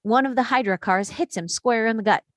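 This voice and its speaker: A woman reading the action lines of a screenplay at a table read, in a steady, descriptive way. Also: on the high side, a little fast, no room sound, studio quality. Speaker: reader of stage directions.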